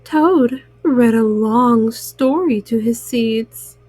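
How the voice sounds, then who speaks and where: A woman narrates a children's storybook as a storyteller. Her voice is high and her pace is extremely unhurried, with a dry, close sound and little room around it. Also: warm tone, no room sound. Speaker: storyteller reading children's books.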